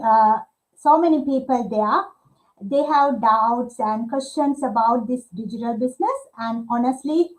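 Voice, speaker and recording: A woman from Sri Lanka in her thirties, hosting a live online interview and pausing often with filler sounds. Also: high-pitched, darkish tone, poor audio. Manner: hesitant, many filler uhs. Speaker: livestream host.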